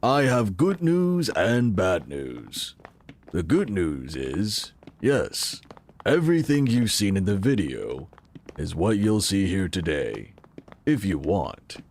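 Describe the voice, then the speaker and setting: A man playing an affable, enigmatic tech company founder in an audio drama, measured and welcoming. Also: deep voice, big pitch swings, darkish tone, slight background noise, exaggerated voice. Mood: measured, mysterious. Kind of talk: full-cast audio drama acting.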